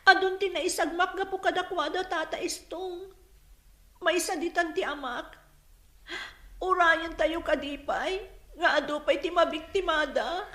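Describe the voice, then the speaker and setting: A bereaved, resolute daughter in a radio melodrama, with a very high voice and Ilocano Filipino accent. She speaks slowly and plaintively in an echoey space over music. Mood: earnest, troubled. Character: grieving, resolute daughter of a slain village leader. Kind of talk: melodramatic radio drama acting. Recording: echoey studio, loud music bed.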